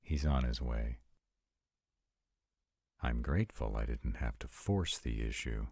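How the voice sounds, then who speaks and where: A man playing a formal, commanding vampire nobleman in a roleplay audio drama, with a gravelly, husky voice and deliberate phrasing. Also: extremely low pitch, lively intonation, a little mellow, no echo, studio quality, full character voice. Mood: measured, guarded. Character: commanding, arrogant male vampire nobles in tense parley.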